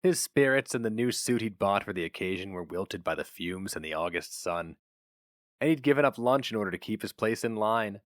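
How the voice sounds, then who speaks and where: A man's voice: fairly low pitch, animated, brisk, dry recording, studio quality. A man reading a short story aloud as dramatic audiobook narration. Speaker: story reader.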